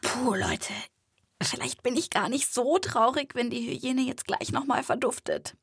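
A woman with a fairly high voice hosts a children's animal podcast. She delivers scripted narration in a lively way, recorded dry and close. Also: unhurried, gravelly, husky, no room sound.

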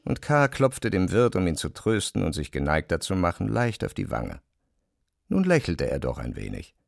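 A male narrator tells a literary story in a low, creaky voice. His intonation swings expressively, and the recording is close and dry. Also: huge pitch swings, moderate pace, no room sound.

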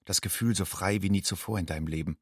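An actor playing a haunted, watchful refugee man in a radio drama monologue, husky, speaking in measured, stark fragments. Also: sits low, no room sound, studio quality. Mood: grave, intense.

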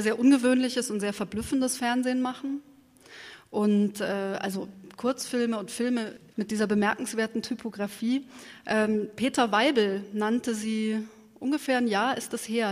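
A woman's voice: fairly high pitch, a little mellow. A woman moderating an interview, putting long, articulate, considered questions with some hesitation. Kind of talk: prepared interview questions, spoken live. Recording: long hall reverb.

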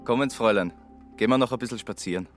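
An actor playing a friendly, courting waiter in a radio play, speaking politely and colloquially. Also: male voice, medium pitch, very fast, soft background music, natural voice. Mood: courteous, inviting. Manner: polite, colloquial, casually inviting. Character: friendly, courting unemployed Viennese waiter, a man.

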